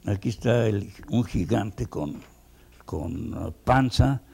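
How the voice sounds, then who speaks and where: Gravelly, husky older Mexican man. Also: lowish voice, lively intonation, slow pace, a little mellow. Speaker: veteran animator. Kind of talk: reminiscing in a documentary interview.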